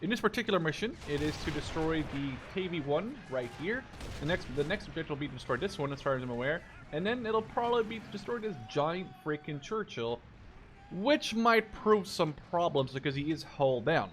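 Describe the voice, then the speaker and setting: Casting: male gamer, twenties, Dutch native speaker, lighter-pitched voice, informal and digressive commentary over faint music. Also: lively intonation, brisk. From Netherlands. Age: 20s. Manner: rambling, digressive, informal with mild swearing. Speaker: gaming video creator. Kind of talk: casual gameplay commentary.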